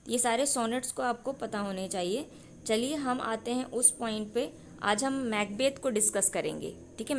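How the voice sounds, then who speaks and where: A woman's voice: fairly high pitch, darkish tone, slight background noise. A woman teaching exam prep, asking rhetorical questions, answering them herself and correcting herself as she goes. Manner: mixes Hindi with English terms; corrects herself mid-sentence.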